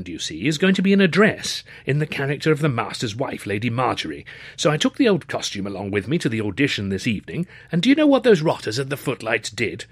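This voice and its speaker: A man voicing an excitable, nervy young Edwardian student, chummy and gushing, with an upper-class English accent. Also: a little high, husky, no echo, voice pushed up, full character voice. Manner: gushing, stammering, old-fashioned slang.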